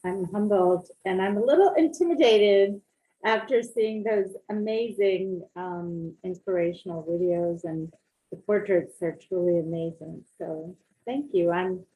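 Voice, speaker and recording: A woman from Pakistan, a community leader, answering interview questions over a video call in a measured way with some fillers. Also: on the low side, mellow, rough recording.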